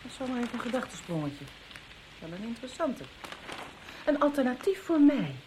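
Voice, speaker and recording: A woman's voice: high-pitched, very animated, a little fast, noisy background. A woman playing an embittered, overwrought wife in an audio drama, with a dramatic, accusing manner. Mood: cool, calculating. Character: embittered, overwrought wife trapped with her husband.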